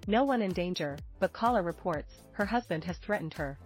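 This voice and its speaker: A woman's voice: fairly low pitch, expressive, a little fast. A woman narrating scripted celebrity gossip news as the reader of the report. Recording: music bed under the voice. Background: background music.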